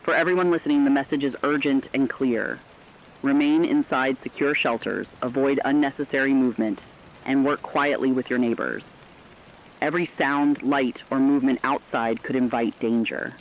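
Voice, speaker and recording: Man acting as earnest emergency radio announcer, mid-pitched, measured delivery, dull sound, faint rain. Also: steady tone, slight background noise, natural voice. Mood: earnest, urgent. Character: earnest male radio announcer warning survivors of catastrophe. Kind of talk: scripted fictional radio news narration.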